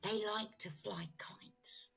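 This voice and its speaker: A mischievous showman of a cat from a children's storybook, acted out by a woman. The words come slowly and drawn out, coaxing and reassuring, in a soft-edged husky voice. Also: medium pitch, in a whisper, full character voice. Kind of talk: children's storybook read-aloud.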